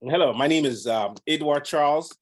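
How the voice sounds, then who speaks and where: A man's voice: on the high side, moderate pace. A man from the Bahamas, a realtor and podcast guest, talking casually in an upbeat, rambling way with many fillers. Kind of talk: casual interview talk.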